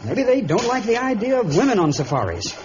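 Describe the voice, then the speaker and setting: Exasperated, high-voiced young man. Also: huge pitch swings, fairly quick, darkish tone. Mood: grumbling. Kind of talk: old radio adventure serial acting. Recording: muffled old radio recording, faint noise.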